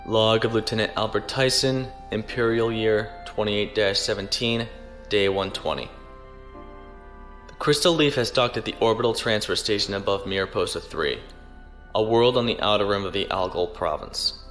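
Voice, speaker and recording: A man playing a dutiful, reserved naval lieutenant in a science fiction audio drama, speaking in a formal, measured way. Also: fairly low pitch, fairly bright tone, faint music behind, natural voice. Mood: formal, weary. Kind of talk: audio drama acting, log narration.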